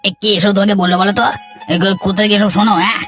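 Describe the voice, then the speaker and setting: Man as an arrogant, fast-talking village troublemaker. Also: high voice, flat delivery, music in the background, close to an everyday voice. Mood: defensive. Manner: brash, defensive, scornful. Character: arrogant, jealous village troublemaker, argumentative grown son. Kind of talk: Bengali moral-story cartoon voice acting.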